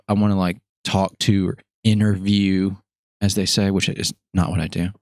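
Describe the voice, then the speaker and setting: Man, deep voice, podcast host, upbeat, rambling, dry close sound. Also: dry recording. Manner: rambling, self-correcting, upbeat. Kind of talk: casual interview chat.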